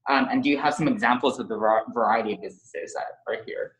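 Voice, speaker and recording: A woman's voice: rumbling low, fast talker. A woman hosting the tour, introducing a guest and reading out audience questions. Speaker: host and moderator of the tour. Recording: echoey room.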